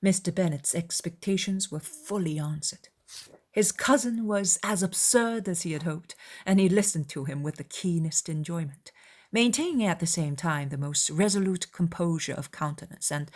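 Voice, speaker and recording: A woman narrating a classic novel as an audiobook, in a measured, formal storytelling manner. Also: on the low side, darkish tone. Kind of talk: dramatized literary narration.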